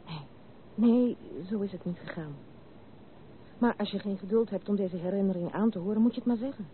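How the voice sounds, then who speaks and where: A woman's voice: mid-range voice, a little fast, lightly acted. Woman acting a candid young noblewoman, tinny old recording. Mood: confessional. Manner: candid, earnest, literary phrasing. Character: spirited, wounded young noblewoman confiding her past. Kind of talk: Dutch radio drama acting. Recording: narrow tinny old radio recording, faint hiss.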